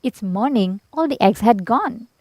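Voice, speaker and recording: Woman narrating a kids picture book, very animated pitch, dry close recording. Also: a little slow, breathy edge, no room sound. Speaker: storybook reader. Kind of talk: expressive children's storybook narration.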